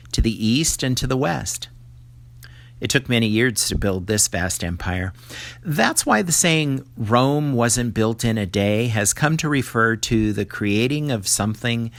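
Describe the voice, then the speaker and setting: A man's voice: mid-range voice, fairly bright tone, no room sound. A man reading a scripted educational lesson aloud to young students, explaining things in the manner of a teacher. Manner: explanatory, poses questions to the listener. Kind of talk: scripted educational read-aloud addressed to children.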